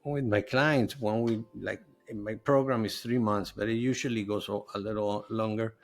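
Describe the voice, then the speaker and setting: A man's voice: on the low side, fairly even intonation, moderate pace. A middle-aged man whose first language is Spanish, talking earnestly and loosely as a guest in a podcast interview. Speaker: podcast guest, nutritionist and health coach. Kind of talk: conversational interview.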